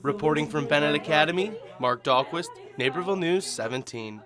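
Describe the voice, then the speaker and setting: A man's voice: fairly high pitch, a little fast, crowd noise. A man with an American accent reading scripted narration as a television news reporter.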